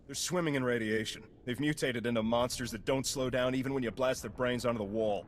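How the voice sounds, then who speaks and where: A hard-bitten soldier of fortune voiced by a male actor in a sci-fi game. His voice sits at a middle pitch, blunt and grim, over faint noise. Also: steady tone, fairly quick, lightly acted. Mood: grim warning. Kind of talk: video game character acting.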